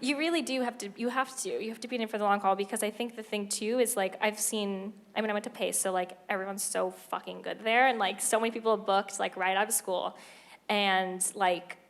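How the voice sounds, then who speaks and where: A young woman in her twenties talks on a theater panel in a mid-pitched voice. She is chatty and animated, and she piles up fillers as she goes. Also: fairly quick. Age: 20s. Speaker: Broadway cast member. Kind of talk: panel talkback.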